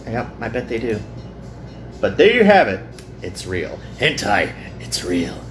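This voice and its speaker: Animated low-voiced man hosting a playthrough. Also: unhurried, faint music behind. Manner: jokey, animated, self-interrupting. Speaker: host of a visual novel playthrough. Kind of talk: casual reading and joking commentary.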